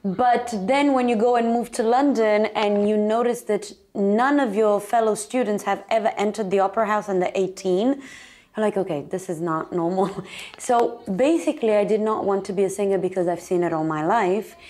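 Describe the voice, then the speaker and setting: A woman raised in Italy talks as an interview guest. She strings her answers together in long, chatty runs and laughs easily. Her voice sits at a middle pitch. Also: steady tone, moderate pace, a little mellow. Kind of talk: casual interview talk.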